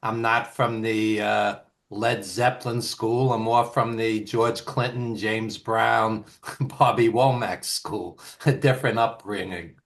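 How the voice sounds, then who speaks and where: A man's voice: on the low side, fairly even intonation, slow. An older man from Brooklyn with a Brooklyn accent, telling rambling, nostalgic stories as an interview guest. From East Flatbush, Brooklyn, New York. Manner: rambling, nostalgic, with fillers and restarts. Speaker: guest, author and filmmaker. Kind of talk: casual storytelling in an interview.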